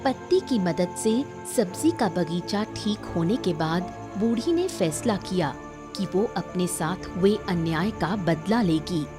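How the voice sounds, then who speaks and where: A woman's voice: on the low side, lively intonation, slow pace, music in the background. A woman reading scripted narration for a story.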